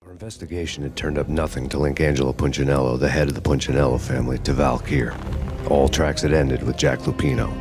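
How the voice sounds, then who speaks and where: An actor narrating as a hardboiled noir cop in a video game, deadpan and gravelly. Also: male voice, rumbling low, fast, music in the background.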